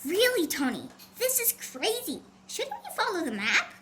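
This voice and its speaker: A woman voicing a feisty, stubborn twelve-year-old girl in a storybook, excitable and snappy. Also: very high-pitched, unhurried, slight background noise, voice pushed up, exaggerated voice. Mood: eager.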